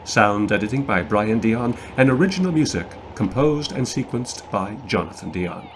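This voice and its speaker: A man's voice: lowish voice, expressive, normal speed, background noise. A man reading scripted cast and production credits as the announcer of a radio play. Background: music.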